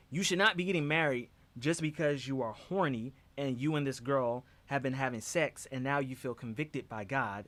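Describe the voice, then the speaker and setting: A man's voice: mid-range voice, fairly bright tone. A man hosting a livestream discussion, talking emphatically and repeating words for stress.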